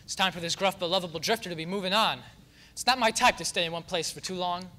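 A young man playing a lovable drifter in a radio soap on stage, halting as he improvises. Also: high-pitched, bright, forceful tone. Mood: swaggering. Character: gruff but lovable drifter, improvised by flustered radio actor. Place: theater stage.

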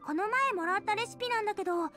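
A woman's voice: very high voice, fast talker. A Japanese voice actress playing a cheerful, eager teenage girl cook in an anime game, bubbly and earnest. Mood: eager, friendly. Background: game music.

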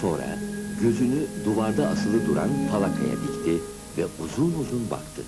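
An actor narrating a cartoon as a grown man recalling his boyhood school days, in an expressive, scripted storybook manner. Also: lowish voice, moderate pace, loud music behind the voice, poor audio. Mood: reminiscing, measured.

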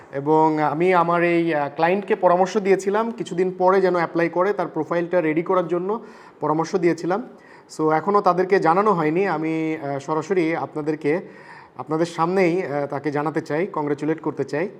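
High-pitched Bangladeshi man, earnest vlogger. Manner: earnest, polite, mixes in English words. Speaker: vlogger and visa consultant. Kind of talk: casual vlog talk and phone chat.

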